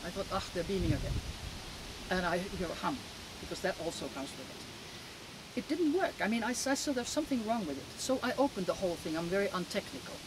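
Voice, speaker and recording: Older Finnish woman, emphatic and halting. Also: mid-range voice, sing-song. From Finland. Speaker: interview guest. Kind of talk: conversational interview answers, personal testimony. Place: outdoors in a garden. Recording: clear outdoor background noise.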